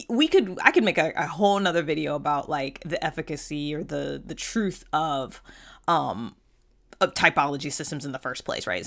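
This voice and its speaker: Female host, slightly low voice, conversational and unscripted, explains ideas informally and slips into imagined quotes. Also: a bit bright. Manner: conversational, checks in with "right?". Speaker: host of a personality typology show. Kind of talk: casual unscripted explainer monologue.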